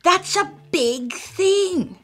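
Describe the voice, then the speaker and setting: Storybook grandma rabbit, elderly and wise, high soft coaxing voice, read by a woman, slow and lilting. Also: lively intonation, full character voice. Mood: loving.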